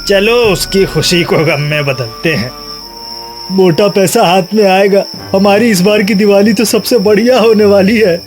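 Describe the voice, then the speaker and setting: A man's voice: sits high, a little slow, faint music behind. A man voicing a sly, greedy village thief with a conspiratorial manner in a cartoon tale. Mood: plotting. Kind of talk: animated moral bedtime story.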